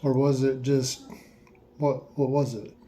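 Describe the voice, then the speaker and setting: A man slowly asking listeners a series of reflective questions, with playful asides in a surprised voice. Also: mid-range voice, flat delivery, warm tone, a little hiss or hum. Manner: slow, repetitive questions with mock-surprised asides.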